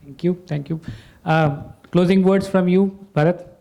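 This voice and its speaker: A man's voice: high-pitched, steady tone, measured pace, creaky, mellow. A man moderating a panel discussion, speaking hesitantly with many fillers.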